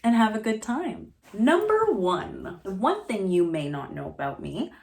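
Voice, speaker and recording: A woman's voice: mid-range voice, big pitch swings, measured pace, gravelly, darkish tone. A woman, chatting playfully to camera while snacking and laughing often. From Montreal, Canada. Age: 20s. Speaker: vlogger. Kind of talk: casual chat to camera while eating.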